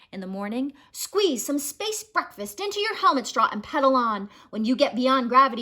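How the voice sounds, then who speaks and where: A woman in her thirties reading a children's picture book aloud in an expressive storytelling manner, drawing out words. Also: high voice. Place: small home room, indoors.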